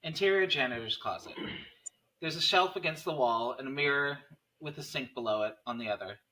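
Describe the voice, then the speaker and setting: A man's voice: mid-range voice, a little fast, pushed and bright, poor audio. A man reading screenplay stage directions as narrator at a table read.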